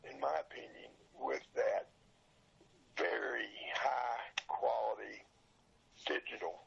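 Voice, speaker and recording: An older man with a hoarse, breaking voice, talking earnestly and haltingly as a guest in a casual interview. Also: medium pitch, very animated, fairly bright tone, highs cut off. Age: older, 60s or above. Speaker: podcast guest, radio show collector.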